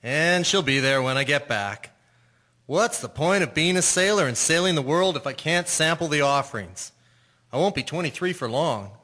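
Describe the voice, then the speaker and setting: An actor playing a brash, lustful, hot-tempered young sailor in a radio drama. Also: male voice, on the high side, expressive, moderate pace, sharp tone. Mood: cocky, jovial. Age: young adult.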